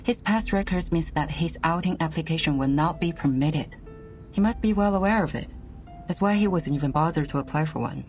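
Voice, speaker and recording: A woman voice actor playing a composed, exacting senior official in a video game, speaking in a formal, measured way. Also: low voice, a little mellow, soft background music, lightly acted. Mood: exasperated.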